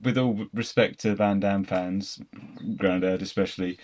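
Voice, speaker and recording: A British man with a deep voice talks casually about films. He sounds like a panellist chatting among friends. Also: steady tone, a little slow, darkish tone. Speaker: film review panellist. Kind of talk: casual film discussion.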